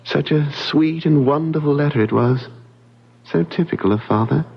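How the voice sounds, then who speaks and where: A man's voice: fairly high pitch, normal speed, vocal fry, husky. An actor playing an anxious, wistful young man of twenty-one in an old radio drama. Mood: wistful, nervous. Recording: dull vintage radio broadcast.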